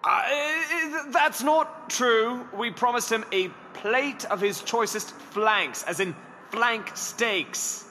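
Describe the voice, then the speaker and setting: A man's voice: very high voice, takes it slowly, bright, forceful tone, a little hiss or hum, exaggerated voice. A man playing a pompous, fawning herald to an evil empress, in the grandiose announcing manner of a comedic fantasy audio drama. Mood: flustered, fawning. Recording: long arena reverb, faint ambience.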